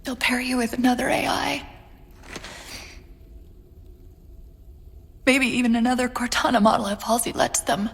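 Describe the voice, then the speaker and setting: A woman's voice: on the high side, fairly even intonation, fast, gravelly, slightly rough, long reverb tail, full character voice. A woman playing a caring, emotional female artificial intelligence companion in a video game, earnest and intimate in her delivery. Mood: wistful. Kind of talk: video game cutscene acting.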